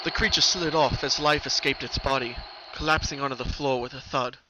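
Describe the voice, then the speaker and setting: A man's voice: medium pitch, steady tone, fairly quick. A man giving a dramatic scripted narration of a fan fiction story as the reader. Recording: very loud background noise.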